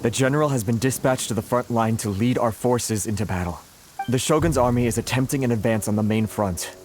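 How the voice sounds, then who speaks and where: An actor playing a grave, worried resistance officer in a video game, speaking formally and sombrely. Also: male voice, medium pitch, fairly quick, a little mellow, faint music behind, close to an everyday voice. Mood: grim, worried. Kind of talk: dramatic video game voice acting.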